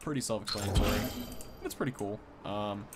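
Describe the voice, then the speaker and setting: A man's voice: on the low side, brisk, very noisy. A man giving casual, off-the-cuff game commentary in an informal way, thinking aloud as he goes. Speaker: gaming content creator giving a first look.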